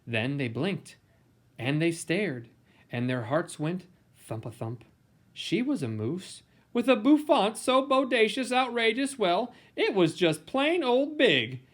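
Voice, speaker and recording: A man's voice: high-pitched, sing-song. Theatrical man reading a children's storybook. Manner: theatrical, playful, dramatic emphasis and pauses. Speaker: storybook reader. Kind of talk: expressive read-aloud of a children's picture book.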